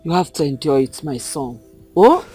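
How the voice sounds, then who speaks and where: A woman's voice: low voice, very animated, normal speed, dark tone. An actress with a Nigerian accent playing a middle-aged mother, consoling and indignant, in a screen drama. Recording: music under the dialogue.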